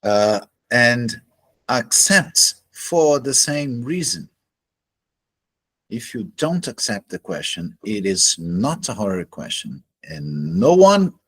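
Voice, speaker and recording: Slow, husky-voiced man. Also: a bit bright. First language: Portuguese. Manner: measured, deliberate, pauses between phrases. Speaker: astrology teacher. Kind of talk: solo explanatory lecture. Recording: low-quality home recording.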